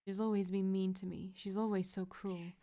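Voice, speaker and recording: A woman's voice: medium pitch, level tone, moderate pace, warm tone, close to an everyday voice. A woman voicing a desperate, broken young woman who pleads through tears. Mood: tearful pleading. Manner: pleading, tearful.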